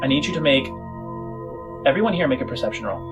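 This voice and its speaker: A man running a tabletop game, improvising descriptive narration in halting, trailing phrases. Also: medium pitch, lively intonation, music in the background. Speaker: game master.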